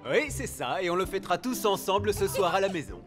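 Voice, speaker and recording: A patient cartoon father played by an actor, gentle but slightly harried. He speaks to small children with mild exasperation. Loud music runs under the voice. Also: medium pitch, lively intonation, a little fast, close to an everyday voice. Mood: gentle, exasperated. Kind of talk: French cartoon dubbing.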